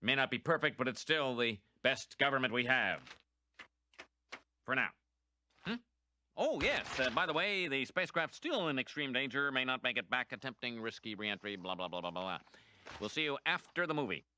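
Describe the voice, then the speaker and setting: An actor playing a pompous, cowardly middle-aged TV news anchor in a cartoon, with a solemn, halting delivery. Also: male voice, mid-range voice, expressive, background noise, lightly acted. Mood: sheepish, backtracking.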